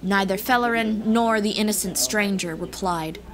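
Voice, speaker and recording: A woman's voice: mid-range voice, normal speed. A woman reading scripted fantasy audiobook narration as the storyteller, with music behind her. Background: background music.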